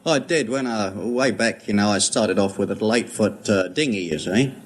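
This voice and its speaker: Lowish voiced guy on an old quiz show, understated, hesitant, jaunty slang, bit of hiss. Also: normal speed. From outside the United States. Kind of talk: casual anecdotal chat. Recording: 1950s radio recording, faint noise.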